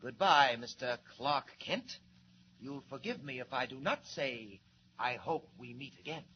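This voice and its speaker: Cold, smug gang boss acted by a man for 1940s radio drama: commanding, very expressive pitch, muffled vintage sound. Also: measured pace, close to an everyday voice. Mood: smug, menacing. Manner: mock-polite, theatrical, commanding. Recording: dull 1940s radio recording, faint noise.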